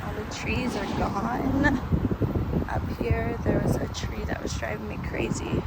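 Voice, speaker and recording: A woman with a lilt talks through laryngitis, her voice hoarse and dropping into a breathy whisper. She rambles casually with fillers and restarts, like catching up with viewers. Loud traffic and vehicle noise run behind her. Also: a little high, fairly even intonation, unhurried. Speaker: vlogger and realtor. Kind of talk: casual vlog chat.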